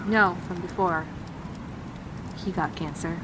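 A woman's voice: on the low side, fairly even intonation, slow, noisy background. A woman acting in an audio drama as a self-contained wandering survivor, matter-of-fact and reassuring. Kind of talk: naturalistic audio drama dialogue.

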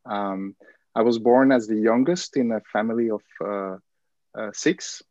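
A man's voice: lowish voice, a little slow, darkish tone, dry recording. A man from Belgium answering interview questions about his upbringing, hesitant with frequent fillers. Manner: hesitant, many fillers and restarts. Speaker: guest. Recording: dull lo-fi dry sound.

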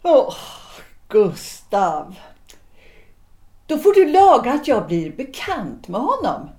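A woman's voice: a little high, wildly swooping pitch, dark tone. An actress playing a composed, coolly ironic wife in a period audio drama, restrained and dryly terse in her replies. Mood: gently ironic. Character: Gertrud, composed, coolly ironic wife, former opera singer. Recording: echoey room.

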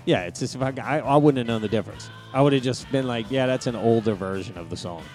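A man's voice: mid-range voice, fast talker, a little mellow. A man co-hosting a podcast, chatting casually with profane, teasing banter over music. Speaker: podcast co-host. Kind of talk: casual chat.

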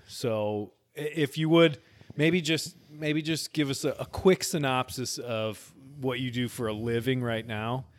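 A man's voice: mid-range voice, no room sound. A man in his forties hosting a podcast, talking in an earnest, rambling way with easy laughs as he interviews an old friend. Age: 40s. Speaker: podcast host. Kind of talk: casual conversational interview.

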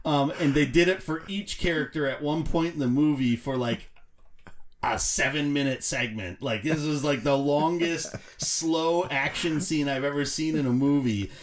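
A man's voice: on the high side, normal speed, slight background noise. A man giving casual, blunt and critical film opinions as a podcast panelist.

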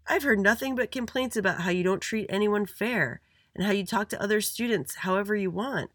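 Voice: mid-range voice, fairly quick, a bit bright, dry recording, clean studio sound, voice pushed up, lightly acted. A storyteller playing a stern female school principal who scolds, in a children's story.

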